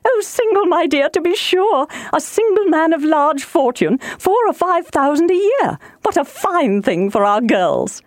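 Audiobook role: middle-aged wife and mother, played by a female reader, gushing and impatient, high and swooping pitch. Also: big pitch swings, unhurried, hardly any pauses, dry recording, studio quality. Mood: gushing, delighted. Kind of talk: audiobook character voice.

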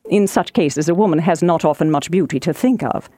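Audiobook role: middle-aged husband, read by a woman, deadpan and teasing, mellow tone, dry close sound. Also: deep voice, a little fast, dry recording. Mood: wry, teasing. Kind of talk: audiobook character voice.

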